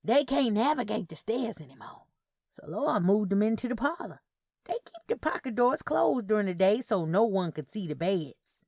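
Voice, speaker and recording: Casting: a woman as no-nonsense woman for audio fiction, bossy and insistent, animated intonation. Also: medium pitch, moderate pace, creaky, no echo, clean studio sound, pitched above the performer's own voice. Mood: explaining. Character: firm, no-nonsense Southern woman looking after elderly twins. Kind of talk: audio fiction character dialogue.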